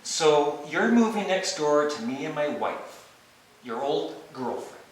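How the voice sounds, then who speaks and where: Needy, sarcastic older husband for stage comedy male actor, higher-pitched, heard from afar in a reverberant room. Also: very animated, takes it slowly. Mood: wary, bargaining. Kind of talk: stage play rehearsal. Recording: distant echoey rehearsal room, faint noise.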